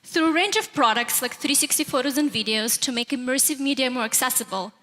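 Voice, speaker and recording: A woman with a Russian accent presents a prepared talk to a conference. Her voice sits high and has a bright, projected edge. It rings through a large hall with long reverb. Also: fairly quick. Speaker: presenter at a youth tech summit.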